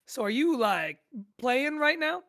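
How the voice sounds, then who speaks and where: A woman's voice: high voice, very animated, measured pace, a bit bright, exaggerated voice. A woman playing a friendly, easily amused drive-thru worker, chatting casually in an audio drama table read. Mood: starstruck.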